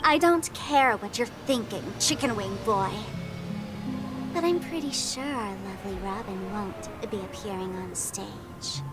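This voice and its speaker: Woman acting a slow-drawling, mischievous trickster girl. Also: medium pitch, natural voice. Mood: taunting. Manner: teasing, mocking, theatrical. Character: mischievous, taunting young female trickster, theatrically playful. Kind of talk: video game voice acting. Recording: loud music under the voice. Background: loud game music.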